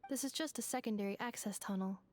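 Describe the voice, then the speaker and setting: A woman's voice: medium pitch, fast talker, natural voice. A woman voicing a polite, earnest young female robot in an anime game, formal and dutiful in manner. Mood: earnest, composed.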